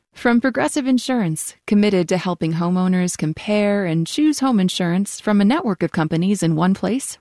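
Gravelly woman reading sponsor credits. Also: mid-range voice, a little mellow, no room sound, studio quality. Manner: crisp, formal read. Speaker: sponsor announcer.

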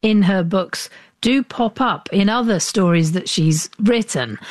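A woman's voice: medium pitch, no room sound. A woman hosting an arts radio interview, asking long questions full of restarts and fillers. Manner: hesitant, many restarts and fillers. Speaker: radio host.

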